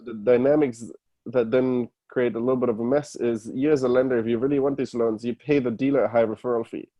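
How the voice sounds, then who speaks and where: A man with a German accent speaks as an interview guest. His voice is soft-edged and medium in pitch, and he explains things step by step in casual talk, using everyday examples. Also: steady tone, fast. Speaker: podcast guest, startup founder. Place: remote call.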